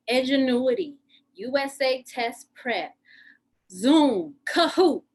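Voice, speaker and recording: A woman's voice: high-pitched, fairly bright tone, heavily acted. A woman playing a fed-up, sarcastic schoolteacher in a dramatic monologue, complaining emphatically. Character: fed-up sarcastic female schoolteacher, worn out by online classes. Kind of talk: stage monologue in a lockdown drama.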